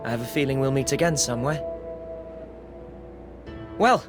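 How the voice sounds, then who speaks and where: An actor playing an easygoing but determined young wanderer in a video game, casual, friendly and earnest. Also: male voice, mid-range voice, moderate pace, lightly acted. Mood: earnest, resolute. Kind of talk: video game cutscene acting. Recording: music behind the voice.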